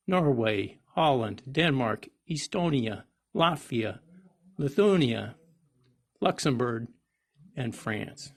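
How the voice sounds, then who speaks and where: A man reading a persuasive article aloud in an oratorical style, with emphatic, declamatory and deliberate phrasing. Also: medium pitch, husky. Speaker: reader of a historical article.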